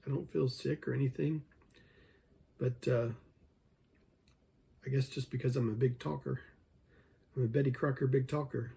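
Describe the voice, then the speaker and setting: Man, hoarse from losing his voice, very husky, dark tone, muttering chess commentary to himself. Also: medium pitch, steady tone, moderate pace, pauses often. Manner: mutters his thoughts, talks to himself, jokes self-deprecatingly. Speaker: chess video creator. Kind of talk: think-aloud commentary over an online chess game.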